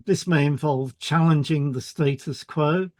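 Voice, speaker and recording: A man's voice: a little high, measured pace, dry recording. A man reading a scripted explanatory podcast as co-host.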